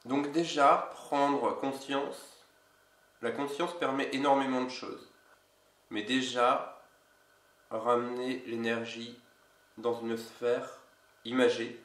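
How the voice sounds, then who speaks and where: A man with a cold, an energy healer giving a casual instructional video in a slow, halting way. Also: medium pitch, little pitch movement, fairly bright tone, recorded from a distance. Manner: slow, halting, with many pauses. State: has a cold. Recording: roomy echo, away from mic.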